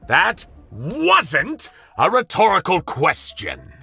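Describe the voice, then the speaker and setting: A man voicing an imperious male toy-company boss in a top hat, booming and theatrical. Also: wildly swooping pitch, measured pace, vocal fry, sharp tone, soft background music, heavily acted. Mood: demanding.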